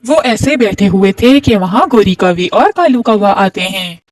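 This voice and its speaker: A woman's voice: mid-range voice, lively intonation, a little slow, no echo, distant mic. A woman narrating a scripted children's story.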